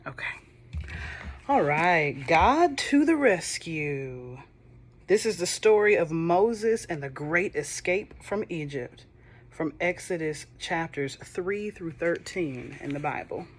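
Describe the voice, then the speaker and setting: A woman reading a children's Bible storybook aloud, with gentle, chatty, affectionate asides to the listening kids. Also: deep voice, lively intonation, slow pace, a little hiss or hum.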